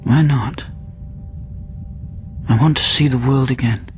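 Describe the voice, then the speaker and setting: A man's voice: medium pitch, takes it slowly, darkish tone, lightly acted. An actor playing a bitter male astronaut woken from a long coma, sardonic and insistent in a radio drama. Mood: bitter, demanding. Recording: music behind the voice.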